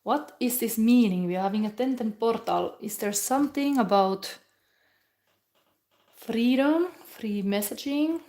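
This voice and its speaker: A woman from Finland with a Finnish accent, giving a rambling, musing tarot reading in English that often drops to a soft whisper. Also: on the high side, a little slow. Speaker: tarot reader. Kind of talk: live pick-a-pile tarot reading chat.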